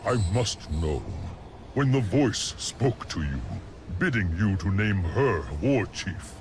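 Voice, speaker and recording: An honorable young chieftain from a fantasy video game. He speaks slowly and formally, with grave weight. His earnest lines rise and fall expressively over clear background noise. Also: lowish voice, lively intonation, a little mellow. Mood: grave, troubled. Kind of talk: solemn video game cutscene acting.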